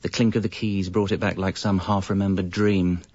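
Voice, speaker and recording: Radio comedy lead: idle, evasive composer narrator, deep-ish and deadpan, on a dull-sounding recording. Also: male voice, moderate pace. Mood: wry narration. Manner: dry, self-justifying. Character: lazy, evasive slacker jingle composer telling his story. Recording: slightly muffled radio recording.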